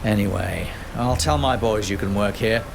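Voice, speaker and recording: An actor playing a gruff, suspicious foreman with a curt, dismissive manner in a video game. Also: fairly low pitch, normal speed, heavy background noise. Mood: grudging acceptance. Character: gruff, sceptical male foreman suspicious of natives. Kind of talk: video game character acting.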